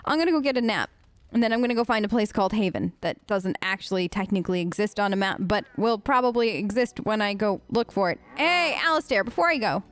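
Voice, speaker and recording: A woman's voice: mid-range voice, expressive, quick pace, a bit bright, dry recording. A woman let's-player improvising casual role-play replies in a chatty, rambling, playful way.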